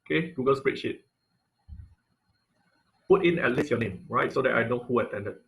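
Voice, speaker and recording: Man, online class instructor, mid-range pitch, informal question-and-answer style, halting delivery, repeated phrases, video-call audio. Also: vocal fry, a little hiss or hum, poor audio.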